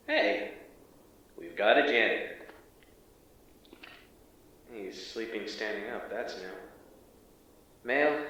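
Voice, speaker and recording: An actor playing a wry, uneasy field agent who reports in a casual, halting, deadpan way in an audio drama. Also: male voice, lowish voice. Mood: wary, observant. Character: wry, uneasy male field agent exploring an abandoned school. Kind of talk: audio drama acting, exploration log. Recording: echoey, distant, faintly noisy field audio.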